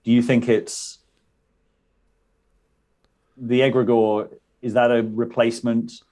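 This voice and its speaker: A man's voice: mid-range voice, level tone, slow, warm tone. A man interviewing a guest in a played clip, asking tentative questions with restarts.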